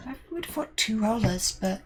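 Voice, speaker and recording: A woman's voice: a little high, expressive, normal speed. A woman with a Welsh accent, chatting casually with viewers while building cosplay armour. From Wales. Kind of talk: casual build stream chat. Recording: noisy home workshop recording.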